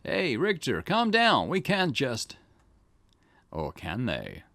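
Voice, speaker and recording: A man's voice: medium pitch, wildly swooping pitch, takes it slowly, gravelly, a bit bright, no echo. A man hosting a gaming channel, reading a detective game's dialogue aloud in a let's play, swinging between character lines. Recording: game music playing underneath. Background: game music.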